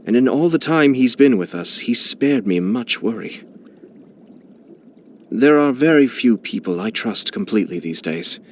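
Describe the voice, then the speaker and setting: A man playing a poised, shrewd young nobleman in a video game, speaking in a formal, measured, urbane and quietly wry manner. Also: medium pitch, lively intonation, slight background noise, natural voice. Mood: earnest, assured. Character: poised, shrewd young nobleman, courteous clan head and protective brother. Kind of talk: scripted video game character voice lines.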